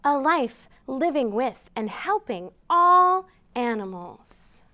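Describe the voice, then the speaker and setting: A woman's voice: sits high, very animated, takes it slowly, pushed and bright. A woman hosting a storytime for young children, reading aloud expressively and prompting them with simple questions. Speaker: children's storytime host at a library.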